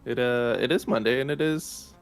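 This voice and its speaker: A man co-hosting a morning show, dropping deadpan one-liners in casual banter. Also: mid-range voice, normal speed, clean tone, faint music behind. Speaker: co-host.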